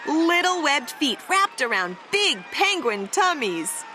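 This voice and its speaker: A woman reading a children's picture book aloud in an animated, theatrical manner with dramatic emphasis. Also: high-pitched, slow pace, very noisy. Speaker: storybook reader.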